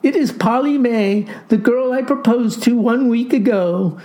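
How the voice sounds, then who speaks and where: A woman's voice: fairly high pitch, unhurried, warm tone, pitched above the performer's own voice, natural voice. A woman voicing a humiliated, self-pitying boy who wails in florid, overwrought phrases for a comic story. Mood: despairing.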